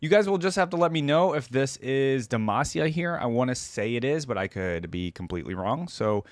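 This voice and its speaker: A man's voice: mid-range voice, expressive, fairly quick, dry recording. A man hosting a reaction video, talking casually to viewers, musing aloud and reading game text.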